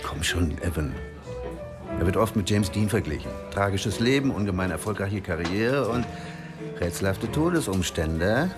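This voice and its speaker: A man's voice: deep voice, lively intonation, normal speed. A man playing a big, good-natured, overeager professor in a radio play, persuasive and insistent. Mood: eager, coaxing. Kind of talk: German radio play dialogue. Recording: music playing behind the voice. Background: music.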